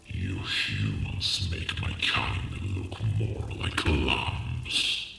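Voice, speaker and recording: Ancient proud dragon, abyssally deep growl, stern and solemn, cavernous echo, fantasy audio roleplay. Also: male voice, animated, gravelly, pushed and bright, heavily acted. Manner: slow, solemn, archaic speech. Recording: distant, heavily echoing, music behind.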